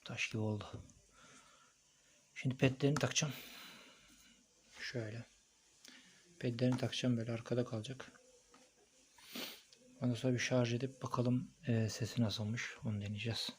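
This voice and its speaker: A man's voice: mid-range voice, level tone, moderate pace, long pauses, slight background noise. A man giving a casual, hands-on product review, thinking aloud informally as he records on a phone. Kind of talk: casual hands-on unboxing and installation commentary.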